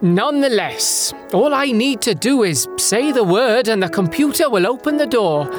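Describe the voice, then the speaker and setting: A woman's voice: on the high side, animated, normal speed, over music, natural voice. Woman playing a flamboyant, smug mad scientist. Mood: grandiose ranting. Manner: grandiose, mock-courteous. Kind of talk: sci-fi audio drama acting.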